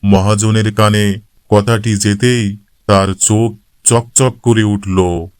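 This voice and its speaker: A man's voice: on the low side, flat delivery, slow, clear, resonant tone, no room sound, studio quality. A man reading scripted narration for a cartoon moral story as the storyteller.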